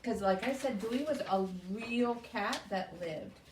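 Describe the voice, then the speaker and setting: A woman's voice: fairly high pitch, animated, a little slow, a little mellow. Woman hosting children's story time, echoey room. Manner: addresses small children with simple questions and explanations. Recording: echoey room, distant mic, faint noise.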